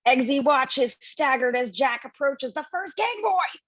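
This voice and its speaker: A woman reading the stage directions in a screenplay table read, brisk and casually playful. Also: high voice, animated, fairly bright tone, no echo. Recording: dull lo-fi home mic.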